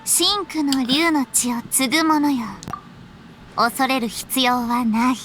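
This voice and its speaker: High pitched dramatic anime teen girl, acts like a dark princess, pompous, music under it. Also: normal speed. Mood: imperious, theatrical. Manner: pompous, archaic, dramatic declamation. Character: grandiose theatrical teenage girl, self-styled dark princess. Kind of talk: Japanese anime game voice acting. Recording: game music behind.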